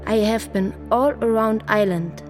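A woman playing a Fuegian native who gives testimony in halting broken English in a radio play. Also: on the high side, lightly acted. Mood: halting, testifying. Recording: voice over prominent music bed. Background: music.

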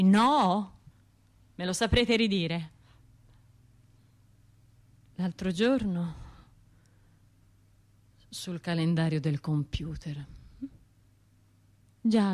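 A woman's voice: mid-range voice, darkish tone. An actress playing a bitter, sardonic, grieving woman with a Tuscan accent in a colloquial radio drama monologue. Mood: wry, weary, then mournful, reflective. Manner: colloquial, sardonic, acting out other people's remarks. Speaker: stage and radio actor.

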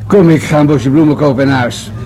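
An actor playing a polite, world-weary police commissioner, formal and a little hesitant, in a Dutch radio play. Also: male voice, fairly high pitch, a little fast, music in the background, close to an everyday voice. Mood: weary, musing.

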